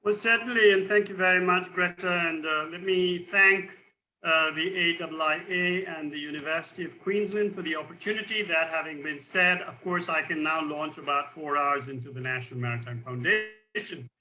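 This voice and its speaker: A man's voice: fairly high pitch, fairly even intonation, moderate pace, sharp tone, low-quality recording. An older man with an Indian accent, a retired naval officer speaking as a guest expert in formal, elaborate language over a remote link.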